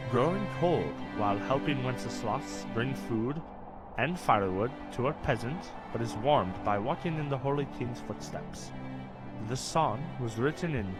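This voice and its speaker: A man plays a young saint guiding a child in an audio drama. He is kindly and a little wry, explaining with gentle pedantry in a medium-pitched voice over music. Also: natural voice, low-quality recording.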